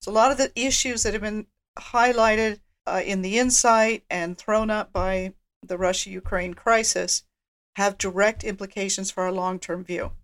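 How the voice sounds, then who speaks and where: A woman speaking as a research executive guest on a podcast, explaining in a brisk, businesslike way. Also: mid-range voice, steady tone. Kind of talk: podcast discussion.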